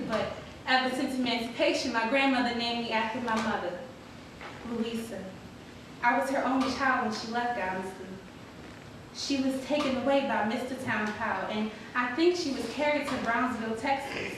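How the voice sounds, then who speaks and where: A woman's voice: a little high, moderate pace, close to an everyday voice. A woman performing a dramatic reading as a polite, anxious freedwoman seeking her mother, earnest and formal. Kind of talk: dramatic reading of a historical letter. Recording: distant, very echoey hall, noisy.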